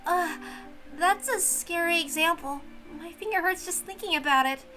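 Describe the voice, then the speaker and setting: A woman's voice: very high-pitched, moderate pace, music in the background. A woman voicing an earnest, naive young servant girl with an eager, wondering manner, in a visual novel read-aloud.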